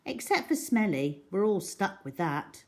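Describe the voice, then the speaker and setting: A woman voicing an eager, bossy, chatty young girl in a children's storybook, with an excitable, pushy manner. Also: medium pitch, a little slow. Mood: chatty, matter-of-fact.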